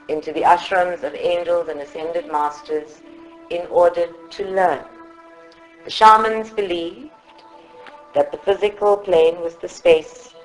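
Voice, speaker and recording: A woman from South Africa leading a guided meditation in a soothing, instructive manner, with long pauses between short phrases. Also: deep voice, darkish tone, low-quality recording. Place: live group meditation session at a centre.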